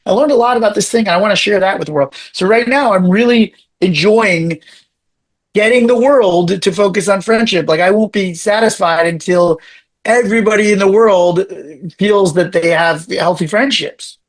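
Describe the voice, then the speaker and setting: A man with a raspy voice talks as a podcast guest, and his pitch sits a little higher than most men's. He is animated and talks in quick bursts, often restarting his sentences. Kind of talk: casual interview chat.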